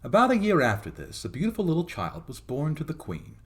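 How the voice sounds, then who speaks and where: A man narrating a fairy tale as a storytelling host, in a theatrical, expressive dramatic reading. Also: on the high side, a little fast.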